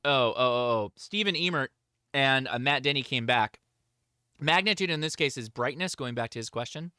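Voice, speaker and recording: A man's voice: medium pitch, lively intonation, normal speed, bright, forceful tone, clean tone, dry recording, clean studio sound. A man hosting a livestream, answering chat questions in a casual, informal, enthusiastic hobbyist way.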